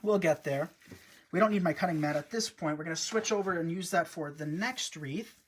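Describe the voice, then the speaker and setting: A man's voice: a little high, fairly quick, breathy edge. A man hosting a live wreath-making stream, chatty and upbeat, often talking to his viewers as he works. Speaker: host, wreath designer. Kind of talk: live craft demonstration.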